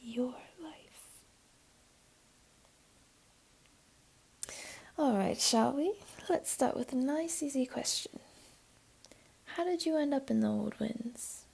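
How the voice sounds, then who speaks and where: A woman voicing a flirty, teasing, comforting jaguar beastling in an intimate ASMR roleplay, speaking softly and coaxingly in a half-whisper. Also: high voice, unhurried, creaky, breathy edge, full character voice. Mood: gently coaxing.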